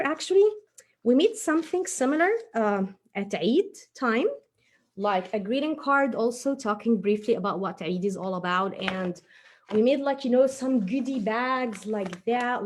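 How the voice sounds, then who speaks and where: Animated woman hosting a children's craft session. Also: a little high, a little hiss or hum. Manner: chatty, encouraging, self-deprecating. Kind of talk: casual craft demonstration for children.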